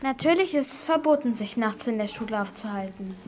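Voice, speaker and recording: A female performer playing a brisk, firm schoolteacher in an amateur radio play for children. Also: on the high side, lively intonation, background noise, natural voice. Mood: pleased, announcing.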